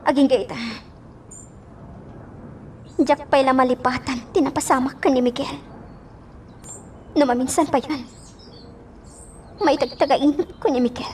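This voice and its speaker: Woman acting high, wistful heartbroken young lady. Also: fairly even intonation, measured pace, long pauses, darkish tone, recorded from a distance, a little hiss or hum. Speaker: radio drama actor. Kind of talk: Ilocano radio drama acting.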